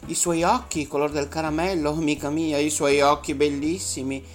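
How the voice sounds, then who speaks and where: A woman voicing an anxious, doting mother fox who pleads in a high, loud voice. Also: fairly even intonation, moderate pace, faint music behind, close to an everyday voice.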